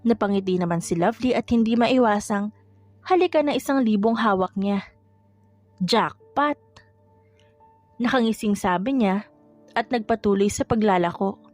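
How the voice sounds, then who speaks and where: A woman's voice: mid-range voice, brisk, a little mellow, no room sound. A woman reading scripted romance fiction as an audiobook narrator. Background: music.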